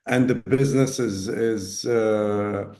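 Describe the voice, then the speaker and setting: Halting low-voiced man from Ghana. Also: fairly even intonation, mellow. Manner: hesitant, many fillers and restarts. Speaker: guest, business owner. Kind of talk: casual interview talk.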